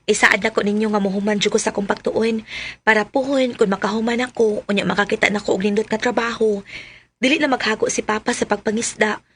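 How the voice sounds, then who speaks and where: A woman's voice: mid-range voice, fairly even intonation, fast, a bit bright, husky. A woman with a Cebuano accent playing an eager young woman in a radio drama. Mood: earnest. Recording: music behind voice. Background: music.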